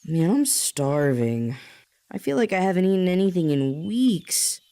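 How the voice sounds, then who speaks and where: Woman playing an eager young trainee, mellow voice. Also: on the low side, sing-song, a little slow. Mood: casual. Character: eager, restless young trainee, casual and adventurous. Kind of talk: audio drama acting, casual dialogue.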